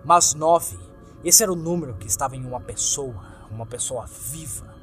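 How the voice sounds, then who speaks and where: A man's voice: mid-range voice, animated, normal speed, a bit bright. A man with a Brazilian accent reading a scripted horror story as narrator, in a dramatic, suspenseful, theatrical way. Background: music.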